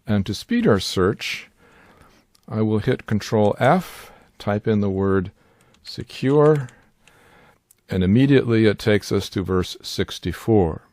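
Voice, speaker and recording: Measured older man, low voice. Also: lively intonation, a little mellow, no room sound. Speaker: Bible teacher and sign language interpreter. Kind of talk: scripted instructional narration.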